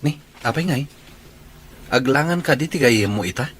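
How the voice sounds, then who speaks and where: A man's voice: medium pitch, lively intonation, moderate pace, a bit bright, husky. Earnest young Filipino man played by an actor. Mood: hopeful. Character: Marlon, earnest young male suitor. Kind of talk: radio drama acting.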